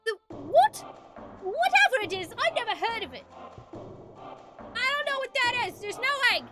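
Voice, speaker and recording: A woman's voice: extremely high pitch, sing-song, a little slow, loud music behind the voice, pitched above the performer's own voice, full character voice. A woman voicing a brash, hot-tempered young female thief in a video game, defiant and slangy. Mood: indignant. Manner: defiant, shouting, slangy insults.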